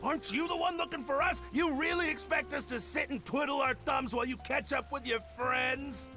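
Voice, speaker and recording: A man's voice: very high voice, fairly quick, sharp tone. An actor playing a brash, bullying male debtor in a video game, blustering and threatening. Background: game music.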